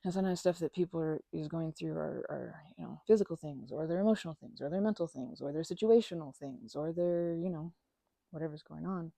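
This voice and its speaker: Rambling woman with a low, creaky voice. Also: brisk, warm tone, no echo. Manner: rambling, self-interrupting, informal and conversational. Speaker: ASMR roleplay performer playing a chatty best friend. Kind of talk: ASMR roleplay, casual one-sided friendly chat.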